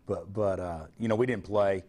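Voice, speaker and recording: A man in his forties with a low, husky voice, talking in a plain, frank way as a basketball coach. He often stumbles and repeats words before getting a thought out. He sounds like he is on a lapel mic in a remote studio. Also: steady tone, normal speed, a little mellow. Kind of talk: TV interview answers.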